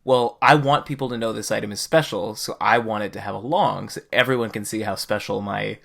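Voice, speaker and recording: A man's voice: on the low side, brisk, creaky, fairly bright tone. A man co-hosting a podcast, chatting casually and earnestly about a game. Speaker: podcast co-host.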